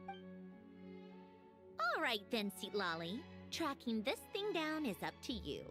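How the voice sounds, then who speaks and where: An actress playing a calm, confident, faintly smug female agent in a game cutscene. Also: fairly high pitch, slow, exaggerated voice. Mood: dismissive. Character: cool, self-assured female Fatui agent. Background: game music.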